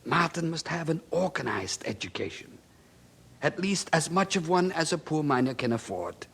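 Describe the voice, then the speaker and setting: A man's voice: a little high, very animated, moderate pace, vocal fry, fairly bright tone, slightly rough, full character voice. A man acting in a radio drama as a hardworking, poor miner father, earnest, hopeful and emphatic in his delivery. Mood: earnest, determined.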